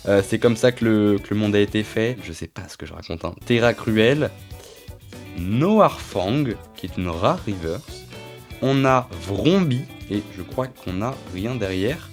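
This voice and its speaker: Young man with a stuffy cold. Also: medium pitch. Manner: chatty, rambling, names each card as he goes. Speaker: channel host. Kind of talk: casual card-opening vlog. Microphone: phone camera.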